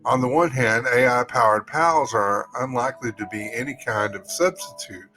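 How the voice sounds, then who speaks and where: Guy hosting a casual podcast, folksy and chatty, average pitch, some music playing under him. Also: moderate pace, sharp tone. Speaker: podcast host. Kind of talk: casual podcast talk, reading an article aloud with asides.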